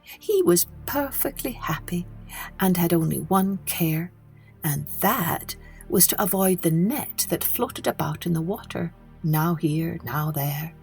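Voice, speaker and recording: A woman's voice: on the low side, animated, slow, creaky, darkish tone. Woman reading a children's story over music.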